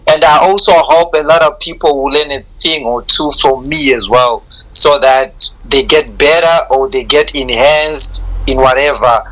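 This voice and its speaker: A man's voice: medium pitch, fairly even intonation, measured pace, fairly bright tone, rough recording. A Zimbabwean man answering interview questions in a reflective, earnest way, often repeating words as he starts a thought. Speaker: guest, content creator.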